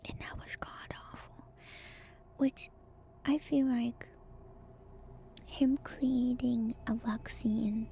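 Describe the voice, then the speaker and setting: Slow, whispering ASMR woman. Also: fairly high pitch. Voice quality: soft, breathy whisper. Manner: slow, gentle, repeats words and phrases. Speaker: VTuber streamer. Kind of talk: whispered ASMR reading and commentary.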